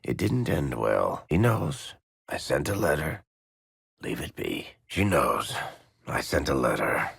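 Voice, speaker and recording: An actor playing a wry, roguish middle-aged storyteller in a video game, with a gravelly, husky American voice and a sardonic, teasing delivery. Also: male voice, rumbling low, dry recording, studio quality, exaggerated voice. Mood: guarded, dry. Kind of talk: video game party banter.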